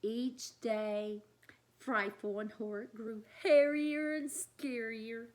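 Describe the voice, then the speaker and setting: A woman reading a picture book aloud to children in an expressive way, often chatting directly to her young listeners. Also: fairly high pitch, slow pace. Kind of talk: animated read-aloud with chatty asides.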